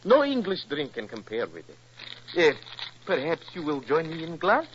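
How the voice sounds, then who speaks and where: An actor playing a genial, proud foreign émigré with a foreign accent and flowery manner in a 1940s radio drama. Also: male voice, on the high side, wildly swooping pitch, normal speed, noisy background. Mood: effusive, genial. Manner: effusive, flowery. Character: genial, proud foreign émigré from fictional Balkan-style country. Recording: muffled vintage radio recording.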